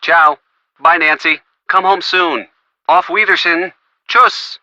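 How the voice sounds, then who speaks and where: A man's voice: on the high side, steady tone, takes it slowly, pushed and bright, no room sound, heavily acted. A man playing an affectionate, playful young boyfriend giving help in a video game phone call. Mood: fond. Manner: playful, teasing.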